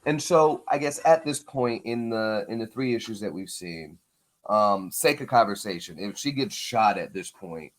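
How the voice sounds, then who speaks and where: A man hosting a show, chatting casually in an informal, halting way as he thinks out loud. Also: on the low side, lively intonation. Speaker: host of a comics breakdown show. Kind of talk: casual interview chat.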